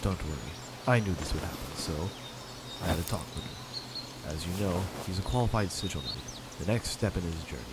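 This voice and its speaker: A man voicing a calm, authoritative senior knight in an animated fan dub, speaking in a measured, formal way. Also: low voice, very noisy, close to an everyday voice. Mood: reassuring. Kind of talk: fan dub character acting. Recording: loud music under the voice.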